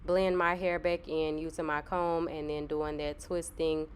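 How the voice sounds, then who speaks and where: Give me a relaxed young woman with a deep, clear voice, a touch nasal from a cold. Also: unhurried. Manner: relaxed, chatty, filler-heavy. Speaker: hair vlogger. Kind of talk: casual tutorial narration.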